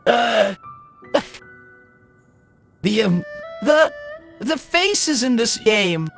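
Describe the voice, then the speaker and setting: A woman playing a video game and giving casual, halting reactions with dry, muttered understatement. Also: fairly high pitch, animated, a bit bright, faint music behind. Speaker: gaming streamer.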